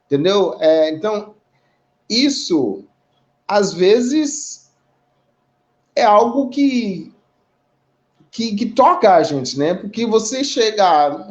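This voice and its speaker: A Haitian man speaking as a community guest in a live online discussion, talking in a measured, reflective way with frequent pauses and tag questions. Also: high voice, big pitch swings. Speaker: guest representing an immigrant community.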